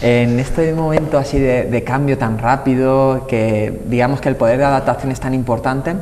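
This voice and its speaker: A man with a Spain Spanish accent and a middle-pitched voice interviews conversationally. He sounds like a presenter talking a question through, recorded in a reverberant space with faint noise behind him. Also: fairly even intonation, moderate pace, darkish tone, recorded from a distance. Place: TV programme set, indoors. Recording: reverberant hall-like room, faint background noise.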